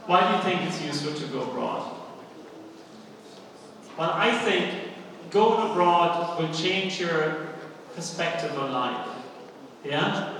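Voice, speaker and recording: A man's voice: fairly high pitch, a bit bright, slight background noise. Echoey, distant German-born man giving a seminar. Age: middle-aged. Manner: rhetorical questions with frequent check-in tags like okay and right. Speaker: university lecturer and seminar speaker. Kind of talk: live seminar presentation to students. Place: large indoor hall, speaking to an audience. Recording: very echoey hall, distant microphone.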